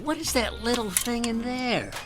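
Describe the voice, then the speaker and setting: An actor playing a touchy, slow-witted repairman who grumbles bluntly and literally in a comic radio drama. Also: very high-pitched, animated, music in the background. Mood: puzzled, dry.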